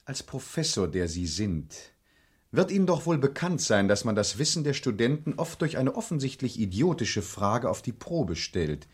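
Sly police investigator, animated delivery. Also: male voice, mid-range voice, husky. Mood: coolly testing. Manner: formal, deliberate, insinuating politeness. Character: probing police investigator, courteous, sly and insinuating. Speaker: actor in a crime radio play. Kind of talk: German crime radio drama acting.

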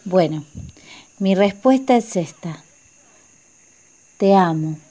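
An actress playing a gentle, dreamy young woman in love in a radio drama, soft-spoken, with an Argentine accent. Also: mid-range voice, lively intonation, slow. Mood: tender.

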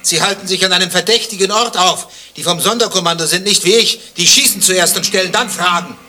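An actor playing a nosy, suspicious stranger in a satirical radio drama, probing and insinuating with deadpan, precise speech. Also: male voice, high-pitched, fairly quick, a little hiss or hum. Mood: accusing, insistent. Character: nosy, suspicious male stranger pestering a waiting man. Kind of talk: dialogue-driven satirical radio drama acting.

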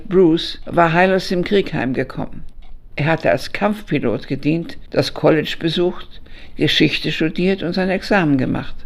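Radio play narrator: a reflective elderly woman, deeply gravelly and husky, literary, measured delivery. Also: a little mellow, full character voice. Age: older, over 70. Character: aged woman recalling her teenage summer job.

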